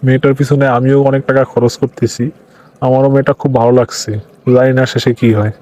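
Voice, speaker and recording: A man playing a dreamy, lovestruck young Bangladeshi fellow from a cartoon comedy. His voice is dark and soft-edged, yet the words come quickly. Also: mid-range voice, little pitch movement, close to an everyday voice. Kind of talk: comic cartoon dialogue.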